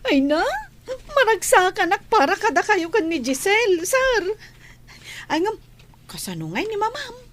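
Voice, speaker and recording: Woman doing chatty cheerful maid, high bouncy voice, ilocano accent, polite, radio drama. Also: very animated, unhurried, fairly bright tone, a little hiss or hum, exaggerated voice. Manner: deferential, chatty. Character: cheerful, sympathetic household maid addressing her master.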